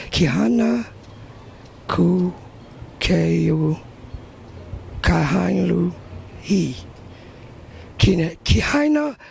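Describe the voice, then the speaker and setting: A man answering street interview questions, slow and halting as he works through a hard word. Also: sits high, creaky, darkish tone, slight background noise.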